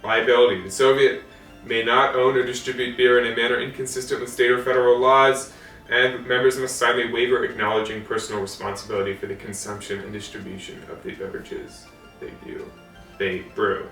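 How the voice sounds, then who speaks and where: A man's voice: medium pitch, level tone, brisk, a bit bright. Echoey, distant man reading from archives. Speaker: host of a co-op history series. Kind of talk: informal history talk, reading from archive documents. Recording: echoey room, distant mic, faint music.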